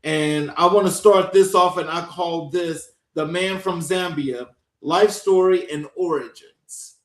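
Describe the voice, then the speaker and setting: A man's voice: fairly high pitch, unhurried. Welcoming male host in an echoey room. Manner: welcoming, asks long structured questions. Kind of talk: interview hosting.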